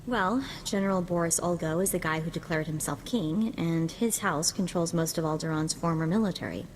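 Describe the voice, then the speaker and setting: An actress playing a chatty, cheerful young sidekick in a video game, talking in a casual, breezy way. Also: female voice, on the low side, a little fast, a little hiss or hum, natural voice. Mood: briefing, casual. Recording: background music under the voice.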